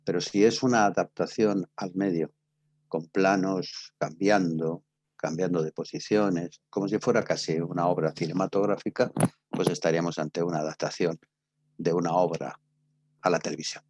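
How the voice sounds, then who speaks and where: An older man with a Castilian Spanish accent giving an introductory lecture as a teacher, speaking in short, halting phrases. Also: low voice, fairly even intonation, darkish tone, slightly rough. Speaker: teacher giving a radio theatre workshop.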